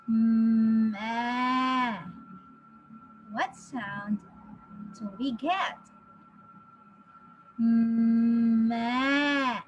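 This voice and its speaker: A woman in her thirties giving a phonics lesson to young children, encouraging them with drawn-out, exaggerated enunciation. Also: a little high, steady tone, muffled recording, soft background music. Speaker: reading teacher. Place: small indoor home teaching space. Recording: clearly noisy home webcam recording.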